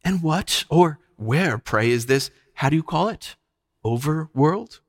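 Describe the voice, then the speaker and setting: Man voicing a sweetly coaxing witch queen, pitched up. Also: expressive, dry recording, studio quality. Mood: mocking curiosity. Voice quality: soft, sweet, musical. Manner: archaic courtly speech, soothing, drawn-out. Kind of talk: audiobook character voice.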